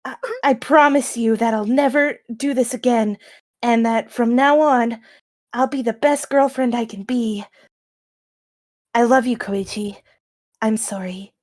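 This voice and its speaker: Overbearing, jealous girlfriend acted by a woman for apology skit; high-pitched, unhurried, earnest and hesitant. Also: a little mellow, close to an everyday voice. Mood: contrite, pleading. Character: jealous, overbearing young girlfriend making a tearful-sounding apology.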